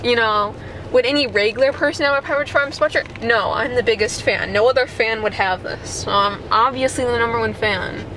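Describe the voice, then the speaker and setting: A teenage girl vlogging with a handheld camera, talking in a deadpan, mock-tragic, rambling way with many "you know"s. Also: a little high, a little fast, rough recording. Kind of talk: mock-dramatic vlog. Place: car interior, then a store. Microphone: handheld camera at arm's length. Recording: loud background noise from car and store.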